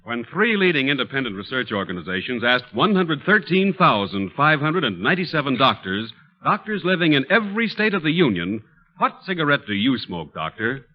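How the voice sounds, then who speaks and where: A man's voice: mid-range voice, big pitch swings, fairly quick. A man reading a scripted advertisement in a 1940s radio announcer style. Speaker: commercial announcer. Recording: dull lo-fi old radio.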